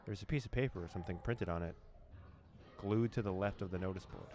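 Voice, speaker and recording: A young man with a low, deep voice reads a horror visual novel aloud to his viewers. He breaks off from the text now and then with quick reactions and short laughs. A faint hum of game sound sits behind him. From former USSR. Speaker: let's play host.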